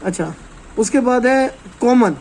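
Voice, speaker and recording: A man's voice: extremely high pitch, lively intonation, unhurried, creaky, a little mellow, a little hiss or hum. A man giving an informal hands-on technical lesson as a trainer, explaining step by step and often checking that listeners follow.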